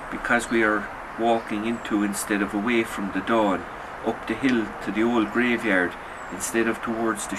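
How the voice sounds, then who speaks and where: Low-voiced man reading fiction over loud noise. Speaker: author reading own short story. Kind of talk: literary audiobook narration.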